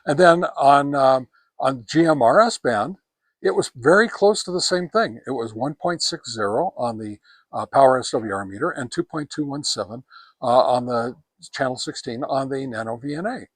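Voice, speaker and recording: A man giving a casual product review outdoors on a backyard deck, talking through test results in a halting, folksy, conversational way. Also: mid-range voice, expressive, no echo. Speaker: host of radio gear review channel.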